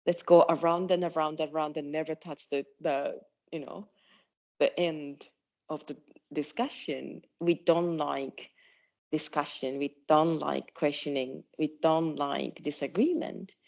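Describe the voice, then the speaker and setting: Soft-spoken Japanese woman, lowish voice. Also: fairly even intonation, creaky. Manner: halting, many fillers. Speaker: podcast guest. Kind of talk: casual conversation.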